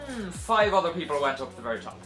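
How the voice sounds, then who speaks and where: A young man telling a casual vlog story to camera in a dramatic, animated way, with sarcastic, self-deprecating asides. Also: fairly high pitch, fast, soft background music. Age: young adult. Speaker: video blogger telling a personal story. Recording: roomy echo.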